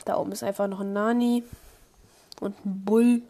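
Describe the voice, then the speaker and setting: A young woman commenting on her own online match, excitable, swinging from low muttering to high squeals. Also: normal speed, noisy background. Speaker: gamer streamer. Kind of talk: live gameplay commentary.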